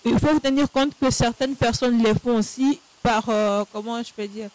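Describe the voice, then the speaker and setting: A woman's voice: fairly high pitch, normal speed, a little mellow, no room sound, poor audio. A young woman student, a guest speaking hesitantly in a casual discussion. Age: young adult. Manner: hesitant, with many fillers and restarts.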